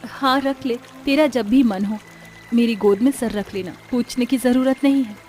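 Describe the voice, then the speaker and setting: Woman as a gentle, protective elder sister. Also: a little high, moderate pace, faint music behind, natural voice. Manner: soothing, earnest. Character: caring young elder sister, poor, gentle and protective seamstress.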